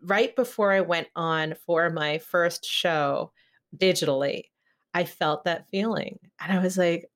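A woman from California hosting a casual podcast chat, telling her own stories in a candid, effusive, halting way. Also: on the low side. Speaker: podcast host.

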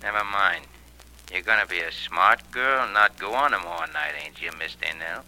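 A man's voice: low voice, bright, forceful tone. An actor playing a slangy, threatening male thug in an old radio crime drama. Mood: menacing. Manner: slangy, slow, threatening delivery. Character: menacing tough male thug making a threatening phone call. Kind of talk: 1940s radio acting. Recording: noisy old radio recording with hum.

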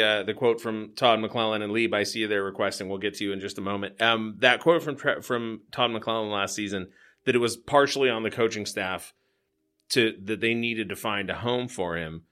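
Bright-voiced male show host. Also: fairly low pitch, moderate pace. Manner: upbeat, laughs easily. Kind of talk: casual sports chat.

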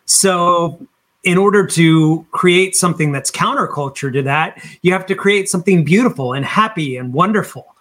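A man's voice: high voice, normal speed, a bit bright. A middle-aged man chatting casually as an interview guest, blunt and emphatic, repeating words for stress. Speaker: musician, podcast guest.

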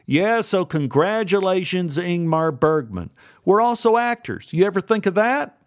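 A man's voice: high-pitched, big pitch swings, a little slow, no echo, studio quality, pitched above the performer's own voice. A man voicing a cocky, pushy young male actor who talks sarcastically. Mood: needling.

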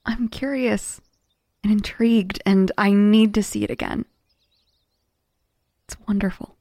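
A woman's voice: medium pitch, fairly even intonation, a little slow, a little mellow, no room sound, clean studio sound, close to an everyday voice. An actress playing a bold, curious female biographer in a sci-fi audio drama, coaxing and intent, speaking in short insistent phrases.

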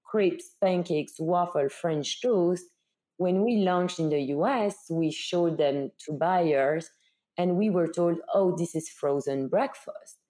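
Woman, Belgian accent, mellow relaxed tone, slightly low pitch, conversational founder interview, explanatory, rhetorical questions. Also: slow. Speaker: food brand founder being interviewed.

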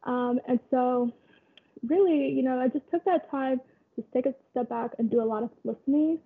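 A young woman in her twenties, originally from Kenya, telling her story as an interview guest in a relaxed way, with many fillers. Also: high voice, moderate pace, slightly rough. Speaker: guest, photographer and community founder. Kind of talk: conversational interview. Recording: tinny phone-like line.

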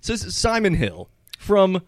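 A man's voice: high-pitched, wildly swooping pitch, a little slow, no room sound, studio quality. A man in his forties hosting a podcast, playful and joking, laughing often. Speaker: podcast host. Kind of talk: casual chat.

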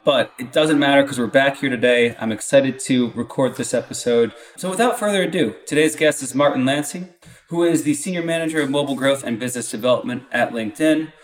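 Male podcast host, informal and chummy interviewer, mid-pitched, a little off the mic. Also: a little fast, far from the mic. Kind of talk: casual interview chat.

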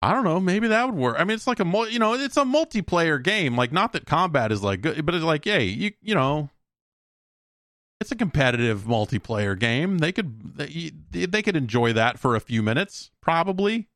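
A man's voice: fairly high pitch, big pitch swings, normal speed, a bit bright, dry recording. A man in his late forties hosting a podcast alone, rambling casually with frequent swearing and self-deprecating comic asides, close on the microphone. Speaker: podcast host.

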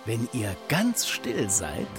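Friendly uncle, lively delivery. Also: male voice, medium pitch, slow, music in the background, full character voice. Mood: storytelling. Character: friendly adult uncle, handy storyteller to children. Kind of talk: children's audio drama acting.

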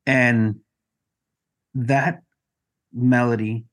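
A man's voice: mid-range voice, little pitch movement, drawn-out words, a bit bright. A man from Mexico, a native Spanish speaker, talking earnestly and reflectively as a guest in a conversational podcast interview. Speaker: podcast guest, music collection digitizer.

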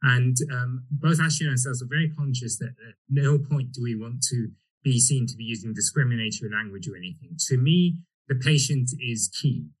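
A man originally from Egypt, a doctor giving a measured medical presentation with frequent fillers. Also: medium pitch, breathy edge, rough recording.

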